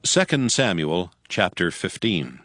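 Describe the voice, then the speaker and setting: A male narrator reads scripture from a script in a slightly low voice. Music is clearly present behind the narration. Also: very animated, measured pace, fairly bright tone, no echo, studio quality.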